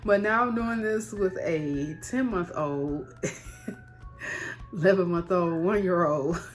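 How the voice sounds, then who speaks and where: Female vlogger, thirties, low register, candid and conversational with emphatic repeats, background music. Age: 30s. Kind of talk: casual personal vlog to camera.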